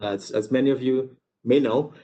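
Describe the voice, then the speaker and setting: Male researcher from Guyana, medium pitch, presenting an informal academic webinar with explanatory detours and repeated words. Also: fairly even intonation, a little fast, mellow. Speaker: university researcher giving a webinar. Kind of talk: informal academic lecture with slides.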